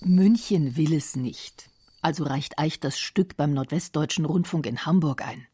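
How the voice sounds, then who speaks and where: A woman narrating a scripted radio documentary in a calm, measured way. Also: low voice, husky, no echo, clean studio sound.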